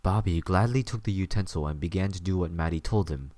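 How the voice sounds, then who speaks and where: A man narrates a scripted story in a low-pitched voice. The recording is close and dry, with hardly any room sound. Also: brisk, darkish tone, no echo.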